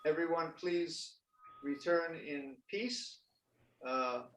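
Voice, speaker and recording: A man leading a Zen ordination ceremony, speaking gently and formally with a few halting fillers. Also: a little high. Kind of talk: ceremonial officiating speech. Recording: echoey and distant with faint noise.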